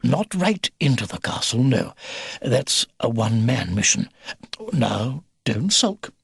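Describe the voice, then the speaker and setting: A man voicing a kindly, excitable old grandfather beaver with a hearty, old-fashioned military manner, in a storybook reading. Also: mid-range voice, slow pace, gravelly, husky, no echo, studio quality, heavily acted. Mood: hushed, firm. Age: older.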